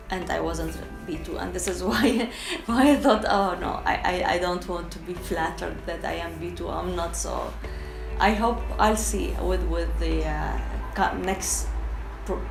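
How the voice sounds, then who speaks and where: A woman's voice: on the low side, vocal fry, reverberant, rough recording. A Syrian woman with an Arabic accent talking candidly in a casual documentary interview, often hesitating as she searches for words in her second language. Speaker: documentary interviewee, freelance translator.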